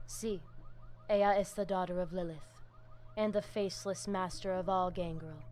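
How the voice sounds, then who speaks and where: An actress voicing a zealous vampire priestess in a video game, with a Spanish accent and a solemn, commanding manner that mixes in Spanish. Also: female voice, on the low side, fairly even intonation, normal speed, darkish tone, a little hiss or hum, natural voice. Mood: grave, mystical. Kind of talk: video game dramatic dialogue.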